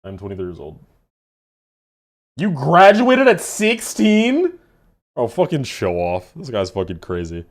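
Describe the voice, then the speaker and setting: A man in his twenties chatting casually with his live-stream chat, laughing and swearing in quick asides. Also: mid-range voice, wildly swooping pitch. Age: 20s.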